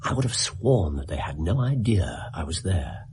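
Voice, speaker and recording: Low-voiced, lively English detective. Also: male voice, moderate pace, a little hiss or hum. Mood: absorbed, dramatic. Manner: precise, dramatic storytelling. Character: brilliant theatrical male detective in Victorian London. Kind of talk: radio drama acting.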